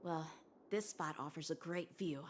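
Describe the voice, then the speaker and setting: A woman's voice: deep voice, normal speed, slight background noise, close to an everyday voice. A woman voicing an earnest, polite young boy lieutenant in a video game, speaking dutifully.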